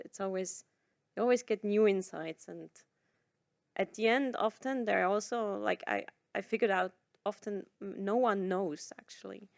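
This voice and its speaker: A woman's voice: medium pitch, vocal fry, no room sound, highs cut off. A woman who grew up in Switzerland, answering a forum question at length with many fillers and restarts. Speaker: social entrepreneur answering questions. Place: conference room.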